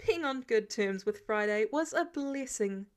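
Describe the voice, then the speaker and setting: A woman reads fiction aloud in a fairly high voice. Her delivery is scripted storytelling, expressive and shaped like an audiobook. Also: measured pace. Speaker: fan fiction audiobook reader.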